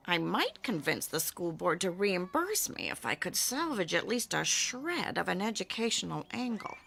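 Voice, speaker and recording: A woman's voice: mid-range voice, sing-song, measured pace, vocal fry, a bit bright, heavily acted. A woman playing a weary, sardonic schoolteacher in a cartoon, with a dry, mock-polite, theatrical delivery. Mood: weary, exasperated. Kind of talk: animated cartoon acting. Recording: music behind the voice.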